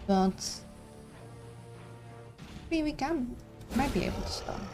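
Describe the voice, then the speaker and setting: Chatty woman streamer with vocal fry. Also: high-pitched, expressive, takes it slowly, music in the background. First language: Dutch. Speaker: game streamer. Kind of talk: casual live-stream commentary.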